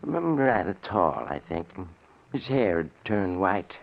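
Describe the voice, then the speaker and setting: A formal, unflappable undertaker, animated delivery. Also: male voice, low-pitched, measured pace, gravelly, heavily acted. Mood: puzzled, formal. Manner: formal, polite, dry. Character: unflappable, dryly insistent male undertaker. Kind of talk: old-time radio mystery acting. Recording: tinny vintage radio recording.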